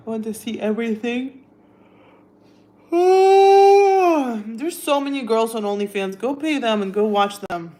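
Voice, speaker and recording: A woman's voice: on the high side, lively intonation, takes it slowly, mellow. A young woman from Russia with a Russian accent, chatting casually on a livestream and answering viewers' comments. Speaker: livestream host.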